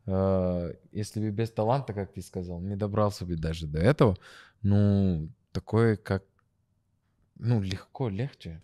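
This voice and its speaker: A man from Sudan, a guest in a casual chat, talking in a hesitant, halting way with restarts. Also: low voice, dark tone.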